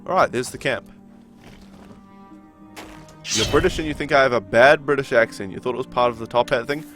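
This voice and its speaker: A man's voice: medium pitch, brisk, fairly bright tone, soft background music. A young man from New Zealand with a New Zealand accent, chatting with his viewers while he plays a game. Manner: chatty, reads chat aloud and answers it. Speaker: streamer. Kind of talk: live game stream commentary.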